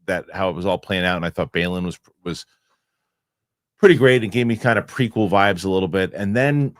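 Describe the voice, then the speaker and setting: A man hosting a casual podcast chat, animated and rambling, often restarting his sentences. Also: low voice, fairly quick, dry recording, studio quality. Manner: animated, rambling, self-correcting with repeated starts. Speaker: show host.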